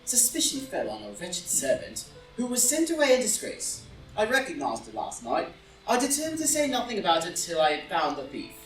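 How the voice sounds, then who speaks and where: A witty gentleman dandy, acted by a man for an audio stage drama, with lively, swooping intonation. He is dry, cool and commanding. The voice sits a little away from the mic in a roomy space. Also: high voice, a little fast. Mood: accusing. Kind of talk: live group reading of a stage play as audio drama. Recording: roomy, distant group reading with faint music.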